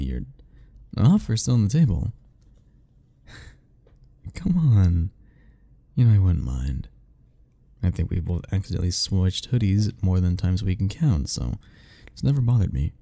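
A man's voice: low-pitched, vocal fry, warm tone. A young man doing an ASMR roleplay as a teasing, easygoing roommate talking to the listener, laughing often.

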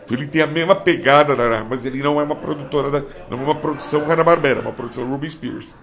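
A slightly high-voiced Brazilian man seated at a table of toys indoors, reeling off collector trivia. He talks in a relaxed panel-chat way into a handheld mic. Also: racing, a crowd in the background. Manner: explains facts like a trivia expert. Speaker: toy collector guest. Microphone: handheld mic passed around.

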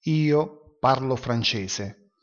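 A man teaching vocabulary in a slow, deliberate manner, giving each word and then its translation. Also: mid-range voice, lo-fi sound.

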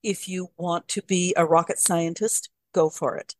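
An older woman hosting a podcast, telling anecdotes in a thoughtful, measured way. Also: fairly low pitch, fairly even intonation, a little mellow, dry recording. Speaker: podcast host. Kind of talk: casual conversation.

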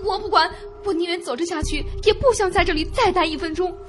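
Very high-pitched panicked young woman, acted by a woman. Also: fast talker, soft background music. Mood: panicked, pleading. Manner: agitated, pleading. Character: frightened, hysterical young woman in a ghost story. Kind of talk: Mandarin audio drama acting.

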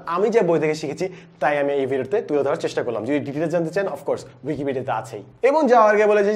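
A man in his twenties with a Bangladeshi accent, presenting a book review in an animated, explanatory way with English words mixed in. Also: high-pitched. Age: 20s. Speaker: book reviewer, educational video host. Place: indoor studio with plain backdrop. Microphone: lapel mic.

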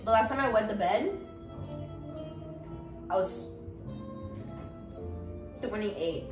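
A woman's voice: medium pitch, lively intonation, takes it slowly. A young woman from Colombia with a Colombian accent, chatting playfully on a livestream in a home kitchen. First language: Spanish. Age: young adult. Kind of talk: casual chat while reading chat on stream. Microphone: far camera. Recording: roomy and distant, music behind.

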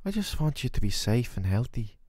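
Guy acting as a caring worried boyfriend, asmr roleplay, soothing and sincere, lively pitch. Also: a little fast, dry recording, studio quality. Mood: apologetic, loving. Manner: soothing, earnest, affectionate. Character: worried, tender, doting boyfriend caring for his sick partner.

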